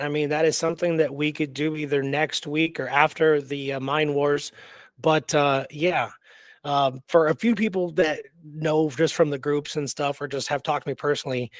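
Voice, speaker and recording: A man with a fairly high-pitched voice co-hosts an unscripted history discussion. He talks haltingly, doubling back and repeating words for emphasis. The sound is very dry, with no room echo. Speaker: co-host of a history radio show.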